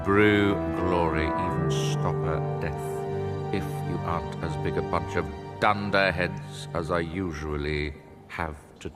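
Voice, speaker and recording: Stern snooty wizard teacher for a game, really deep n slow, cold lecturing. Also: male voice. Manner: cold, contemptuous, precise lecturing. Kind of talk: video game character acting. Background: very loud game music.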